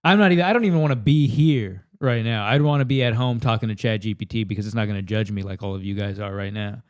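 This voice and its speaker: A man's voice: on the low side, fast, dry recording, clean studio sound. A man from the United States doing an improvised, crude, self-deprecating comedy rant into a handheld mic at a table in a house. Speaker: comedian contestant. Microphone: handheld microphone.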